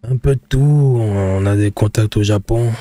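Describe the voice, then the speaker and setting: Man playing a cautious haggling buyer: low, mellow, unhurried bargainer, dry close mic. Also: no echo, faint music behind, lightly acted. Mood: wary haggling. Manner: deliberate, bargaining, casual street French. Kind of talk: roleplay in character.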